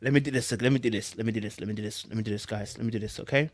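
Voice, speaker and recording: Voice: mid-range voice, steady tone, racing, a little hiss or hum. A podcast host with an urban London accent and slangy speech, commenting in an exasperated, incredulous way and repeating phrases for emphasis. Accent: British, urban London slang. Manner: informal, slangy, conversational. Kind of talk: animated commentary on a celebrity breakup statement.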